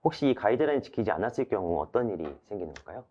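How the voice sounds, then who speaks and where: A man's voice: on the low side, sing-song, rapid-fire, warm tone, muffled recording. A man hosting a webinar in a polite, formal, scripted way, summing up and posing questions. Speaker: webinar host, moderator. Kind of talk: scripted moderated webinar talk.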